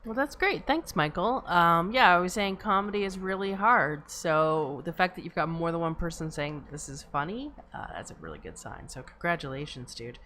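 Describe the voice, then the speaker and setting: A woman's voice: fairly low pitch, expressive, normal speed, hardly any pauses, bright, forceful tone, a little hiss or hum. A woman moderating a casual online feedback discussion as host.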